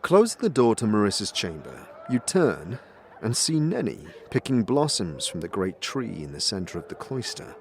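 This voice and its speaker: A man narrating an audio drama and voicing its protagonist in slow, even tones. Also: lowish voice, slight background noise.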